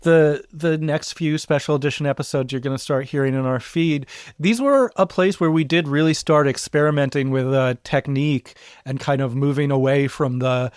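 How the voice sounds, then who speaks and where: A man, a podcast co-creator, chatting in a relaxed, reflective way with frequent fillers as he introduces an episode. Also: fairly high pitch, moderate pace, smooth, no room sound, studio quality. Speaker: podcast co-creator and sound designer. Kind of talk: casual podcast intro chat.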